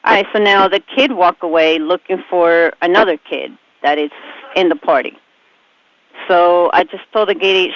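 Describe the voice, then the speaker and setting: An airport ramp controller speaking over the radio to a pilot, calm and businesslike. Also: medium pitch. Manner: calm, businesslike, matter-of-fact. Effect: aviation radio transmission. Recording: muffled radio with clear static.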